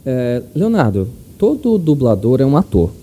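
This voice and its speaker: Lively, dark-toned Brazilian man, noisy mic. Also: mid-range voice, moderate pace. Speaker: podcast co-host. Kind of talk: casual interview. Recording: noisy microphone with background ambience.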